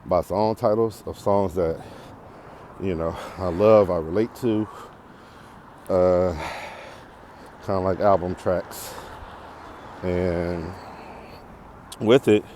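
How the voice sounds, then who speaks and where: Casual American male podcast host, very low mellow voice, thinks aloud and digresses, clear outdoor background noise. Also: fairly even intonation, takes it slowly, pauses often. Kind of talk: casual unscripted monologue while walking. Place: outdoors, walking on a path.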